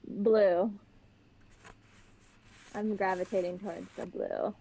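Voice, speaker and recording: A young woman with a Pittsburgh accent, a podcast guest chatting and telling stories in a lively way. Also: medium pitch, takes it slowly, gravelly, a little hiss or hum. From Pittsburgh, Western Pennsylvania. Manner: chatty, animated storytelling. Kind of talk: casual chat. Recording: slightly dull, lo-fi sound.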